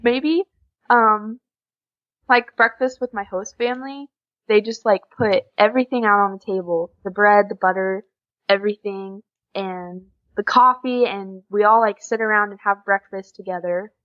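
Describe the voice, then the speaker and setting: Young american woman on a podcast, chatty storyteller, normal pitch, kinda muffled lofi sound. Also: moderate pace. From USA. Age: young adult. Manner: chatty, animated storytelling with quoted dialogue and frequent giggles. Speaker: podcast guest, exchange student. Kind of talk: casual interview chat. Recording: slightly dull, lo-fi audio.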